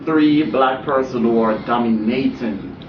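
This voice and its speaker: A young man with a Jamaican accent talks in an easy, laid-back way, mid-pitched. He sounds far from the mic in an echoey room. The sound is muffled, with a clear layer of background noise. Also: unhurried. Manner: relaxed, peppered with "you know what I'm saying". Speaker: guest actor. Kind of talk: casual interview chat. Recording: distant roomy muffled recording, noisy.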